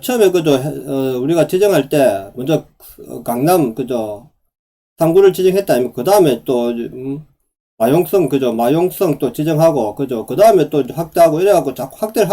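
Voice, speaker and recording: A middle-aged man with a sore, hoarse throat, giving insistent solo commentary on housing policy and asking the viewer to agree again and again. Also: a little high, mellow. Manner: repeats "그죠?" to the viewer, rhetorical and insistent. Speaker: real estate commentator.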